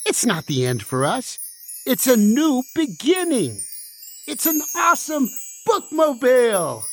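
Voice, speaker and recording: Puppet stage role: eager, innocent book character, voiced by a woman, lively swoops, slightly high. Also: a little slow, faint music behind, heavily acted. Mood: excited, hopeful. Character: wide-eyed, eager banned storybook puppet. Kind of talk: stage puppet musical acting.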